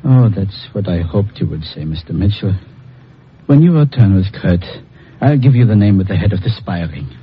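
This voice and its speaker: Anxious professor, swooping, animated voice. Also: male voice, low-pitched, normal speed. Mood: pleading. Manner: hesitant, formal, earnest. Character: anxious, pleading European male professor, a protective father. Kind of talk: 1950s radio drama acting. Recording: muffled old radio broadcast, faint noise.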